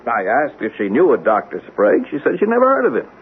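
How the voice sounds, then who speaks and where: An actor playing a puzzled, agitated and increasingly suspicious husband in an old-time radio mystery drama. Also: male voice, mid-range voice, huge pitch swings, fast talker. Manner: agitated, hesitant. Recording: tinny, noisy old radio recording.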